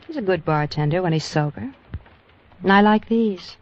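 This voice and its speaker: A woman's voice: fairly low pitch, normal speed, natural voice. An actress playing a cold, seductive female crime boss in a vintage radio noir drama, cool and imperious. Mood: cool, scheming. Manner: cool, imperious, clipped orders. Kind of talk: 1940s radio noir acting, with first-person narration. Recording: dull old radio recording, noisy.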